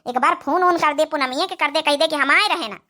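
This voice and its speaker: A woman voicing an Indian village family woman in a cartoon comedy drama, with an Awadhi accent and expressive rustic speech. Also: high voice, brisk, close to an everyday voice. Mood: concerned, soothing. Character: village women of an Indian family: mothers-in-law and young daughter-in-law.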